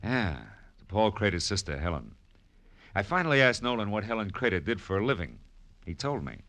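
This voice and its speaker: An actor playing a weary, wry private detective in a 1940s radio noir drama, with a sardonic delivery. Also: male voice, fairly low pitch, big pitch swings, fairly quick, a bit bright, no echo. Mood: wry explaining.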